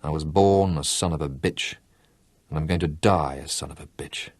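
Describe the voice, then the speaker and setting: Guy reading grim army captain, bitter and gruff, husky. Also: rumbling low, moderate pace, a little mellow, no echo, voice pushed down.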